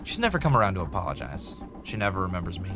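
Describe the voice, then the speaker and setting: An actor playing a bitter, self-justifying former reality show winner turned podcaster, delivering a reflective confessional monologue with dramatic pauses. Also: male voice, sits low, big pitch swings, over music. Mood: bitter, resolute. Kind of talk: confessional first-person scripted audio drama monologue.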